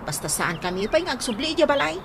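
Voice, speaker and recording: A woman acting a high-voiced, wounded Ilocano wife. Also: very animated, quick pace. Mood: firm, wounded. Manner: plaintive, aggrieved. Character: long-suffering wife and mother, wounded but firm. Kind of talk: Ilocano radio comedy-drama acting. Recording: noisy background with birds and animals.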